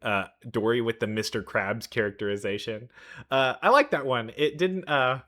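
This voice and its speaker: A man's voice: medium pitch, normal speed, fairly bright tone. A man hosting a reaction video, chatting casually and enthusiastically as he thinks aloud. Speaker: reaction video host, gamer.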